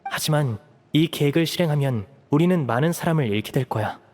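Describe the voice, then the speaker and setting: A man voicing a composed, protective young elder brother in an anime-style game, explaining things in a measured, serious way. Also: a little high, lively intonation, darkish tone, close to an everyday voice. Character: composed young magician, protective eldest brother, earnest strategist.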